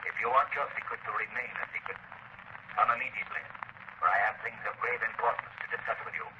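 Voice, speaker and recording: An actor playing a mysterious, ominous avenger speaking from a played-back record, in 1930s radio style. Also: male voice, mid-range voice, steady tone, fast. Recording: distant, noisy muffled 1930s radio playback.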